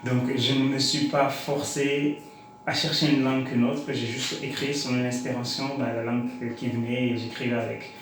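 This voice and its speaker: A man's voice: medium pitch, little pitch movement, moderate pace. Comorian man talking in a very echoey room. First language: Shikomori (Comorian). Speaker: interview guest, slam poet. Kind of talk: conversational interview answers. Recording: very echoey room with faint noise.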